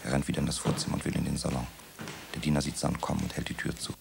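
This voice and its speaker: A man narrating a radio drama in a calm, measured way. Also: extremely low pitch. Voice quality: gravelly.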